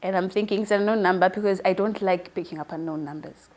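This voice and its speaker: A woman in her early twenties being interviewed. She talks in a casual, chatty style at a middling pitch, like someone telling stories about herself. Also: fast, a little mellow. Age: early 20s. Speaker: newly appointed online show presenter being interviewed.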